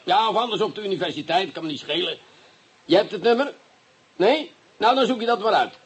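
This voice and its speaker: Radio play: touchy, sarcastic police chief, middle-aged, high husky voice. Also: male voice, animated, fairly quick, exaggerated voice. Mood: curt on phone. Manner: gruff, impatient, sarcastic. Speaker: police commissioner. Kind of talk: radio drama acting.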